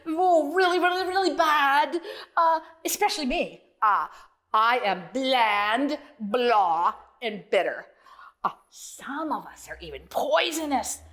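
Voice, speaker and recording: A woman voicing a tiny, jittery male tree frog in a children's storybook, stuttering and squealing in an excitable way. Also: high voice, heavily acted. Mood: nervous. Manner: stuttering, shrieking, squealing, repeats words. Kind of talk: children's storybook character reading.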